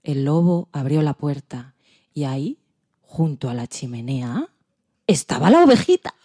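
A woman's voice: low voice, very animated, unhurried, dark tone, breathy edge. A woman with an accent from Spain, narrating expressively as a podcast storyteller. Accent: Spanish (Spain).

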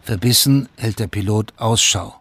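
An actor serving as the narrator of a radio crime drama, telling events in a measured, factual way. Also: male voice, on the low side, gravelly, a bit bright, slightly rough, exaggerated voice. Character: sober omniscient storyteller of a crime chronicle.

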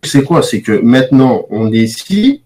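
A man's voice: mid-range voice, big pitch swings, normal speed, a little mellow. Blunt, emphatic French man. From France. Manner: emphatic, blunt, repeats himself when starting sentences. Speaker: debate participant. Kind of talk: heated debate.

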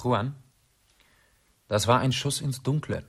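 A scheming, self-assured lord gives orders in a husky voice of medium pitch. His manner is commanding, in the style of a radio play. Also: slow, fairly bright tone, full character voice. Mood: confident, planning. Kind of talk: radio drama acting.

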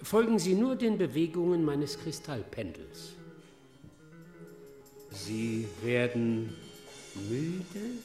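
Hoher, theatralischer Bühnenhypnotiseur mit Hall. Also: male voice, fairly high pitch, sing-song. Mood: theatrical, commanding. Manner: showy, slow, suggestive. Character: commanding, theatrical male cabaret stage hypnotist. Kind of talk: German radio drama acting. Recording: roomy echo. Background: loud music.

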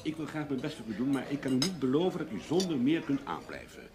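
A man's voice: medium pitch, sing-song, fast talker, a crowd in the background, natural voice. An actor playing a blunt, calculating businessman in a Dutch radio play, direct and coolly polite. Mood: firm, dismissive. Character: blunt, calculating businessman negotiating over staff.

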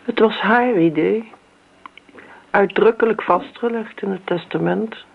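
An actress playing a bitter grown daughter in a Dutch radio play, dry, sarcastic and coolly needling. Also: female voice, mid-range voice, measured pace, creaky. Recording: noisy, narrow-band old radio recording.

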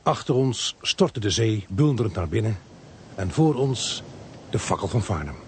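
Animated, earnest radio play narrator. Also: male voice, lowish voice, a little slow, slight background noise. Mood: suspenseful narrating. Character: earnest male narrator-protagonist, puzzled companion to an investigator. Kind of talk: dramatic first-person radio play narration.

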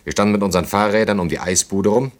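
Actor as sardonic, deep-voiced German older man. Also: quick pace, close to an everyday voice. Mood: nostalgic. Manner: dry, mocking, reminiscing. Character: established older man, sardonic, nostalgic, needling a young woman. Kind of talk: naturalistic German radio play dialogue.

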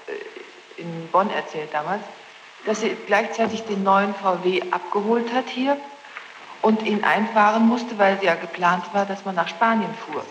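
A young woman testifies in a formal, defensive way. Her voice is distant and dull, washed in hall reverb and strong noise on an archival courtroom recording. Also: mid-range voice. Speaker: witness under questioning. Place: large reverberant courtroom, away from the mic. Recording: distant, muffled, noisy echoing archival tape.

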